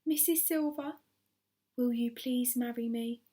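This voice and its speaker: A woman voicing a shy, nervous, lovestruck gentleman in a children's story, speaking earnestly. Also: a little high, steady tone, measured pace, close to an everyday voice. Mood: hopeful. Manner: earnest, hushed when nervous.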